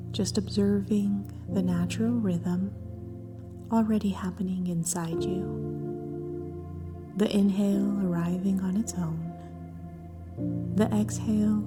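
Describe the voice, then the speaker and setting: A woman leading a scripted guided meditation in a gentle, soft voice with breathy phrase endings and long pauses between short phrases. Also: medium pitch. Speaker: therapist guiding a meditation. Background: background music.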